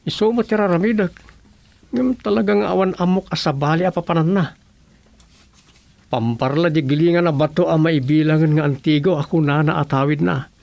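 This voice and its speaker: A man's voice: a little high, normal speed, gravelly, a little mellow, no room sound. A man playing a worried searcher in a radio drama, anxious and plain-spoken.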